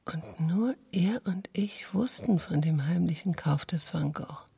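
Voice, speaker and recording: An actress playing a disillusioned woman in a radio play, coldly accusing her lover in a husky half-whisper. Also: on the low side, animated, measured pace, warm tone, heavily acted.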